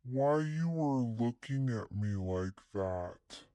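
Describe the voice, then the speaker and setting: A man voicing a lonely, ugly video game creature pleading for friendship, gravelly and halting. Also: medium pitch, animated, dark tone, no echo. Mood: pleading, desperate. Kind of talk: video game cutscene acting.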